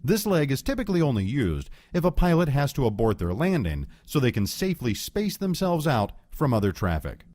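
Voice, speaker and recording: A man reading scripted educational narration as an instructor, explaining in a clear, measured way. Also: medium pitch, big pitch swings, a little mellow, no room sound, studio quality.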